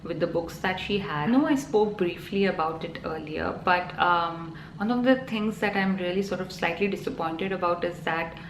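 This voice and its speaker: Chatty Indian mom vlogger, slightly low voice. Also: female voice, normal speed, hardly any pauses, slight background noise. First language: Telugu. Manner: chatty, many ums and you-knows. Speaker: parenting vlogger. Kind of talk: casual talk to camera. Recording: echoey room, faint ambience.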